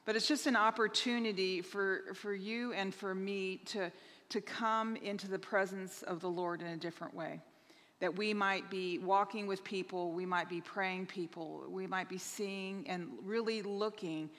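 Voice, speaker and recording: A woman's voice: mid-range voice, steady tone, a little slow, fairly bright tone. A woman pastor in her thirties speaking at a lectern in a church sanctuary, earnestly sharing announcements with frequent restarts. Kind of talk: church announcements to online congregation. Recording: reverberant church hall.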